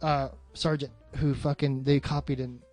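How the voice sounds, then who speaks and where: A man's voice: mid-range voice, little pitch movement, normal speed, a little mellow, dry recording, soft background music. A man chatting casually about films as a co-host, swearing freely and using plenty of slang.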